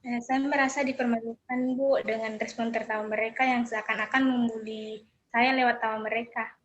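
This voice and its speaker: A young woman playing a counseling client in a role-play, speaking hesitantly with soft fillers over an online call. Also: fairly high pitch, little pitch movement, fairly quick. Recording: dull lo-fi online call audio.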